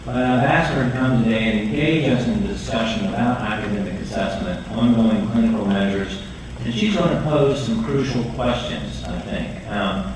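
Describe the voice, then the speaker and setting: A man chairing a board meeting, speaking in measured, hesitant remarks with frequent ums. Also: lowish voice. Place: large meeting hall. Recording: echoey hall, distant mic, noise.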